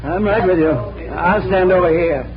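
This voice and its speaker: Man playing high-pitched corny ventriloquist, showman patter, muffled old radio. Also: normal speed, a crowd in the background, close to an everyday voice. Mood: affable. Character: corny male vaudeville ventriloquist. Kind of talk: 1940s radio drama acting. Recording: muffled, noisy vintage radio recording.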